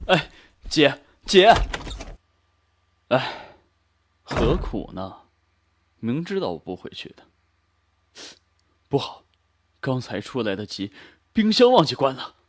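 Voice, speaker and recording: Man acting a reserved bachelor with expressive intonation. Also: mid-range voice, slow pace, pauses often. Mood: resigned. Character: reserved adult bachelor younger brother, mildly weary. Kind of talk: amateur audio drama acting.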